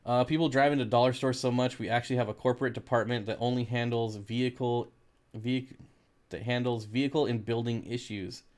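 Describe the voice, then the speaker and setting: An American man speaks at an average male pitch in an informal, laid-back style. He sounds like a livestream host, reading out comments and replying to them in a jokey, conversational way. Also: steady tone, a little fast, darkish tone. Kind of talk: casual livestream chat.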